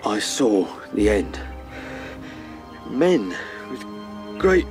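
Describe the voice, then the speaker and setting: Traumatised rabbit officer, radio play: slow, distressed, broken delivery, music beneath. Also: male voice, on the low side, very animated, heavily acted. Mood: horrified, anguished. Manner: halting, haunted, breaking off mid-sentence. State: shaken, distressed. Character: shaken, traumatised adult male rabbit, former captain. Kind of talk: radio drama acting. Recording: music under the voice.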